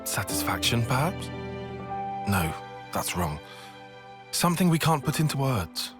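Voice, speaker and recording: A man playing a thoughtful, analytical soldier in a game drama, deliberate and reflective. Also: medium pitch, sing-song, music in the background. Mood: pondering. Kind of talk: dramatic game cutscene acting.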